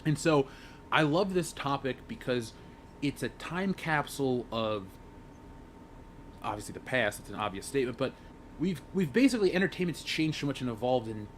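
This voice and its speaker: A man's voice: expressive, moderate pace. A man giving his opinions casually as a member of a discussion panel. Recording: faint rumbling background noise.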